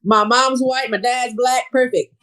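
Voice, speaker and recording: A woman from New York City, a guest on a casual podcast, chatting in a relaxed way and laughing easily. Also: fairly high pitch, a little slow. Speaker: podcast guest, social media creator.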